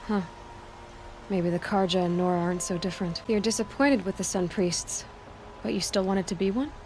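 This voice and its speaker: Woman as a direct young huntress, medium-pitched. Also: moderate pace, a little mellow, a little hiss or hum, natural voice, low-quality recording. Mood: questioning. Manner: plain-spoken, direct. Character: young huntress heroine, direct, sceptical, compassionate. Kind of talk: video game acting.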